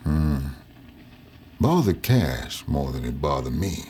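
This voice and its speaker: Very deep-voiced Southern storyteller. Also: male voice, sing-song, slow pace, a little mellow, a little hiss or hum, exaggerated voice. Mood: reflective. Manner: folksy, matter-of-fact. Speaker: narrator telling his story to an interviewer. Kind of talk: audio drama first-person storytelling.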